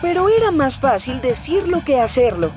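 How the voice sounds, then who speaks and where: A woman's voice: high-pitched, very animated, moderate pace, over music. A woman with a Latin American accent narrating a children's story from a script. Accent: Latin American Spanish.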